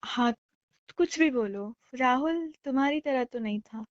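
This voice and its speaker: A woman as a clingy young girlfriend, higher-pitched voice. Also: measured pace. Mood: annoyed, threatening. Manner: insistent, sulky, pleading. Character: clingy, jealous, insistent young girlfriend on a call. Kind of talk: romantic phone-call roleplay, casual Hindi chat. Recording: dull lo-fi phone call recording.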